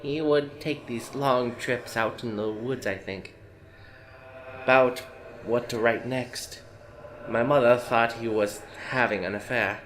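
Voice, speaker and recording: A man's voice: medium pitch, steady tone, slow pace, fairly bright tone, a little hiss or hum, poor audio. A man playing a hesitant grown son looking back, in an audio drama. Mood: reflective. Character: hesitant adult son recalling his father.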